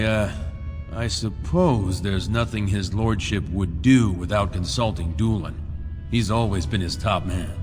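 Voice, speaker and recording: An actor playing a blunt, world-weary dwarf arena warrior in a fantasy video game. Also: male voice, fairly low pitch. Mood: doubtful, indignant. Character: plain-spoken, wary dwarf arena warrior. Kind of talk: video game dialogue acting. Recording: long reverb, music behind.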